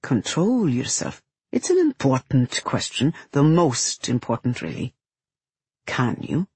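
A woman voicing a big, calm male guardian in an audiobook, pleasant and matter-of-fact with quiet menace. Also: very deep voice, takes it slowly, breathy edge, no echo, exaggerated voice. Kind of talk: audiobook character voice.

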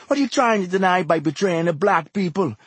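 Audiobook role: impassioned street exhorter, anguished and furious, voice pushed far up high, loud declamation. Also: male voice, expressive, fairly quick, husky, no echo, full character voice. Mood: bitter contempt. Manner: impassioned, declamatory, emphatic repetition in dialect. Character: heavyset, anguished, furious male street exhorter, passionately pleading. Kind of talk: audiobook character dialogue.

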